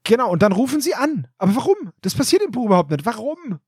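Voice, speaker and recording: A man's voice: very high voice, sing-song, quick pace, darkish tone, husky, dry recording, studio quality. A man co-hosting a podcast, chatting casually and animatedly about an audio drama. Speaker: podcast host.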